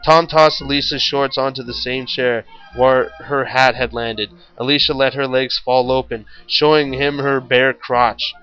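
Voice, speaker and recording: A man's voice: mid-range voice, steady tone, unhurried, few pauses, highs cut off, soft background music. A man reading a story aloud in a theatrical, mock-serious way. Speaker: story reader, show host.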